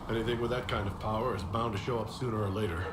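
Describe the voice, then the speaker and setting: A man plays an earnest hero from a video game, low-pitched and politely curious. The recording is echoey, with steady noise underneath. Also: fast talker, close to an everyday voice. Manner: polite, inquisitive. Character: earnest male hero leader. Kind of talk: game cutscene dialogue.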